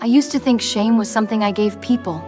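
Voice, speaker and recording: A woman playing a proud, spoiled young princess in an animated fairy tale, with a haughty, dryly sarcastic delivery. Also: fairly high pitch, fast talker, lightly acted. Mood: wounded pride. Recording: music behind the voice.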